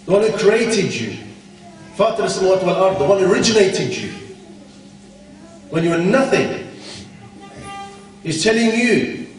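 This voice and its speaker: A man's voice: sits high, big pitch swings, brisk, pauses often, faint music behind. A man giving a blunt, scolding Islamic talk at a conference, full of rhetorical questions. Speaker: conference speaker. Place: conference hall. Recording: echoey hall from far off.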